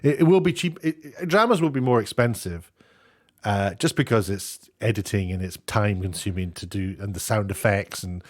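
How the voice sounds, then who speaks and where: A man giving casual, off-the-cuff commentary in a halting, rambling way, often breaking off to rephrase. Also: fairly low pitch, no room sound, clean studio sound. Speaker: online commentator, former broadcast worker.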